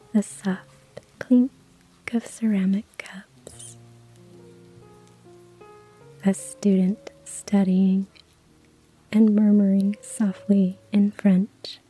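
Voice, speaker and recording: A woman narrating a cozy story in a hushed ASMR whisper, with soft laughs. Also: medium pitch, husky, poor audio.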